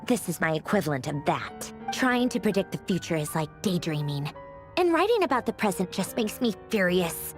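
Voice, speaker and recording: An actress playing a haughty, sharp-witted young woman in a video game, sardonic and offhand with a dryly theatrical edge. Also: fairly low pitch, big pitch swings, normal speed. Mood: dry, wistful. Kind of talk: scripted video game voice acting. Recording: music playing behind the voice.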